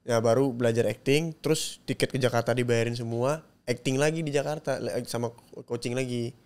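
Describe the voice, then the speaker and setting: A man in his twenties with a strong Balinese accent, an actor answering as a guest in a casual chat, easygoing and quick to laugh. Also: mid-range voice, fairly even intonation, a little mellow, clear, resonant tone. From Bali, Indonesia. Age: 20s. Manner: easygoing, laughs often, short replies.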